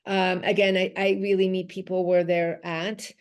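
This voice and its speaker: A woman's voice: fairly low pitch, level tone, normal speed, clean tone. A middle-aged woman from Switzerland, whose first language is Dutch, speaks as a physical therapist guest in a conversational interview. She talks earnestly, restarts her phrases and says "you know" often. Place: home, in Switzerland.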